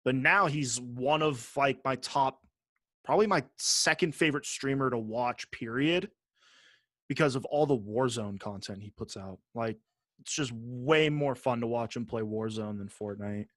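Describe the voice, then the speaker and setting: Male podcast co-host, average pitch, blunt casual talker who swears freely, dry recording. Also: measured pace, a bit bright, no echo. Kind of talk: casual chat.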